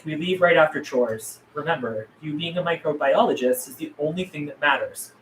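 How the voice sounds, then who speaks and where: A man's voice: fairly high pitch, a little fast, fairly bright tone, close to an everyday voice. A man reading the part of a guarded, earnest zombie apocalypse survivor at a script table read, terse in manner. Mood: stern. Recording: roomy, distant mic, faint noise.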